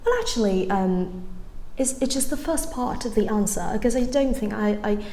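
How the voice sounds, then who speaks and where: A woman's voice: mid-range voice, normal speed, warm tone. A young Vietnamese woman in her twenties, speaking English with a light Vietnamese-tinged accent with British touches, giving a chatty, rambling language lesson full of ums and restarts. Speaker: English-learning YouTuber. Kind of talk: casual vlog-style lesson. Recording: echoey room with faint ambience.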